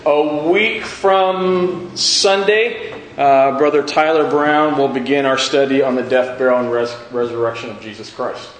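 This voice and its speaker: A man's voice: mid-range voice, steady tone, a little slow, hardly any pauses. A man teaching an informal church Bible class, repeating and rephrasing his questions for emphasis as he addresses the group. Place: large echoey indoor room with a class present. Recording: very echoey room with faint noise.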